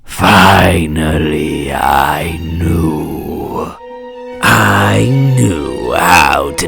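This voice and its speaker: A menacing, vengeful villain from a comic dub, with a gravelly voice that swings widely in pitch. The delivery is theatrical and deliberate, like someone savoring fear, over a prominent orchestral score. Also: male voice, exaggerated voice. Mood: brooding, gloating. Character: bitter, menacing male supervillain, obsessed with fear and revenge. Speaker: voice actor. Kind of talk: dramatic comic dub narration and acting.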